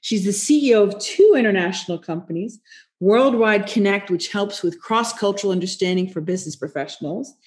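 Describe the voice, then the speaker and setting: A woman's voice: medium pitch, darkish tone. Enthusiastic Norwegian woman hosting a podcast. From Norway. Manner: enthusiastic, quick exclamations. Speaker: podcast host. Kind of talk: interview podcast.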